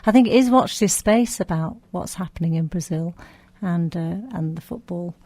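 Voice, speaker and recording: A woman's voice: fairly low pitch, moderate pace, dark tone, no echo. A woman co-presenting a local radio show, speaking thoughtfully and earnestly. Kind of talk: radio chat.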